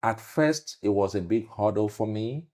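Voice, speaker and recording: A man's voice: fairly low pitch, moderate pace, darkish tone. A man with a Nigerian accent, a realtor answering interview questions with earnest, emphatic storytelling.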